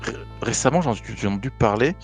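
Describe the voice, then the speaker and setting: A man's voice: mid-range voice, expressive, a little fast, faint music behind. A man playing a tabletop role-play in character, stammering often as he asks probing questions. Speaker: player.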